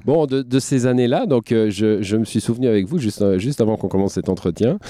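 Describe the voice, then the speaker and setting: A man's voice: on the low side, quick pace, dark tone, dry recording, clean studio sound. A man interviewing a former local politician, asking long rambling questions full of hesitations. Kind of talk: conversational interview.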